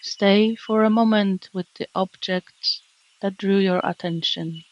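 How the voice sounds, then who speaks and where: A woman meditation teacher narrating a guided relaxation in a gentle, calming, measured way with long pauses. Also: mid-range voice, dull audio. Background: birdsong and nature sounds.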